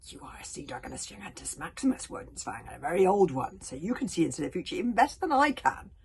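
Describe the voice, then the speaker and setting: Deep in a storybook, a huge male dragon roars and accuses with bitter rage, as a woman reading aloud puts him on. His voice rises and falls widely between outbursts, and he talks fast. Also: big pitch swings, gravelly, a little mellow, a little hiss or hum. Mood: bitterly resentful. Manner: roaring, accusing.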